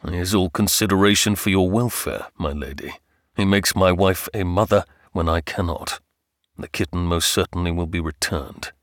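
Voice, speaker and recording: Man as a gruff, low-voiced, proud earl. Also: moderate pace, a bit bright, no room sound, studio quality, exaggerated voice. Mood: wry, bitter. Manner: gruff, drawling. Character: gruff, proud, teasing earl, stubborn husband. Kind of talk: audiobook character dialogue.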